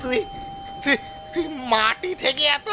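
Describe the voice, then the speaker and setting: A woman voicing a terrified man who stutters and gasps out halting, repeated words in an audio drama. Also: high-pitched, lively intonation, voice pushed down. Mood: terror. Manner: halting, stuttering, gasping repetition. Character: terrified, stammering man seeing a ghost. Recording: voice under prominent music. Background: prominent background music.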